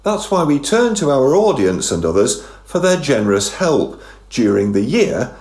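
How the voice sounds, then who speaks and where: A man's voice: medium pitch, big pitch swings, normal speed, darkish tone. A man, presenting and narrating for community radio.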